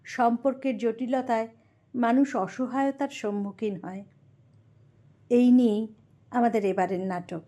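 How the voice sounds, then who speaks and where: A woman's voice: a little high, steady tone, measured pace, pauses often, dark tone. A woman reading a scripted, dramatic introduction as the announcer of an audio drama.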